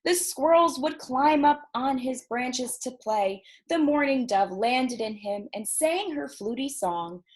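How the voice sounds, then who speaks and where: Children's storytime librarian, female, slightly high voice, slow delivery, expressive and encouraging read-aloud. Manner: expressive, encouraging, addresses young listeners with questions. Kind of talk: reading picture books aloud to children.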